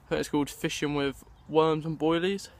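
A man's voice: a little high, steady tone, fairly quick. A young man, talking casually to viewers while fishing on a riverbank, filmed on a handheld camera at arm's length. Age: young adult. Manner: chatty, rambling, addresses viewers as guys. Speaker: fishing vlogger. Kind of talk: casual outdoor vlog. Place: riverbank outdoors in winter.

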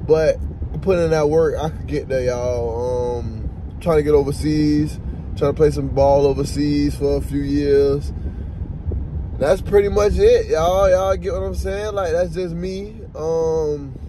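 A man's voice: fairly high pitch, fairly even intonation, a little slow, mellow, low-quality recording. Young man vlogging from a car. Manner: laid-back, repetitive, motivational. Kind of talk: casual motivational vlog. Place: inside a car. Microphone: phone held low at arm's length. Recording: phone recording with car noise. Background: car and road noise.

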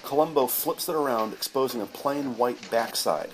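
A man's voice: mid-range voice, moderate pace, background noise. A man narrating a scripted audio drama in a descriptive storytelling style.

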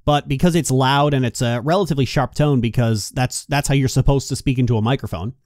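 A man hosting a casual discussion, speaking loudly, directly and clearly into the microphone at a quick clip. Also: medium pitch, no room sound, studio quality. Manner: loud, direct, clear delivery; fast-talking. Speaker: video essay host. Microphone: close studio microphone.

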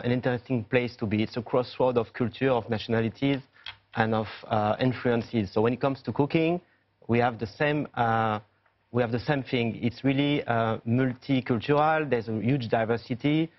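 A man's voice: mid-range voice, dull audio. Hesitant man, chef as news guest. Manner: hesitant, many fillers and restarts. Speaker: chef and restaurant owner. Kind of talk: studio news interview guest. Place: TV news studio.

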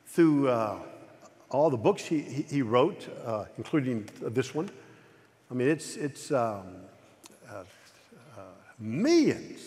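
A middle-aged man preaching a sermon at a pulpit in a large church auditorium, talking with a stutter, frequent repeats and restarts. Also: medium pitch. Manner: gestures broadly at a pulpit. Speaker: pastor. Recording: long reverb of a large hall.

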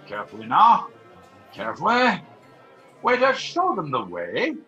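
Casting: older actor as theatrical villain doctor, animated and higher-pitched, smugly pompous, radio mystery. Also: male voice, measured pace, muffled recording, soft background music, exaggerated voice. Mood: brisk, commanding. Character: pompous, scheming, chauvinist male doctor villain. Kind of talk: period radio play acting. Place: at home on a video call. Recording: roomy home video-call sound.

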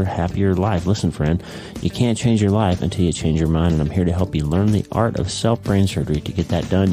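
A man hosting his own podcast in a casual spoken monologue, a little congested, chatty and easygoing, recorded at home early in the morning. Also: sits low. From United States. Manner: warm, chatty, leaves in his own slips. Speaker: podcast host.

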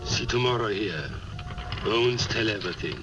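A man's voice: on the low side, lively intonation, moderate pace, close to an everyday voice. A man playing a stoic, fateful South Seas harpooner in an audio drama, speaking solemn, clipped broken English. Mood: solemn, fatalistic. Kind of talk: vintage audio drama acting. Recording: loud music under the voice.

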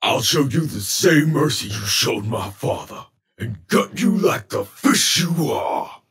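A vengeful grown male villain growls a slow, threatening vow, as a man puts him on. His voice is husky and raspy, and his pitch swings widely through the line. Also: sing-song, a bit bright, faint music behind, exaggerated voice. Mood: menacing. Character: menacing adult male villain vowing revenge. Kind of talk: voice sample for effects demo.

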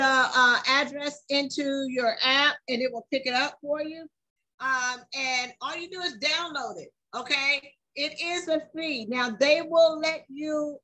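An American woman with a high, bright voice hosts a casual product walkthrough. She talks emphatically and keeps checking that listeners follow along, in the manner of someone explaining a tool to fellow workers. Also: rough recording. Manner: emphatic, repeatedly checks in with "okay?". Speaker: channel host and truck driver presenting a product review. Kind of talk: casual screen-recorded app walkthrough.

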